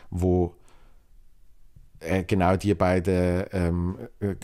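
Low-voiced Basel Swiss host rambling. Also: male voice, warm tone. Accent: Basel Swiss German. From Switzerland. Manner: rambling, many fillers and restarts. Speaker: podcast host. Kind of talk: solo podcast, reading listener mail.

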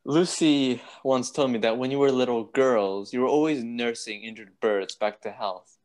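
A man playing a courteous, troubled gentleman doctor with polite, formal speech in a radio play. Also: medium pitch, moderate pace, lightly acted. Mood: worried.

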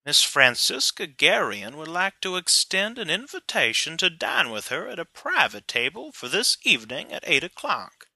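A man's voice: on the high side, big pitch swings, normal speed, creaky, few pauses, pushed and bright, breathy edge, dry recording, exaggerated voice. A man playing a hot-tempered, blunt and domineering husband with a brash, coarse manner in an amateur radio play. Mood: curious.

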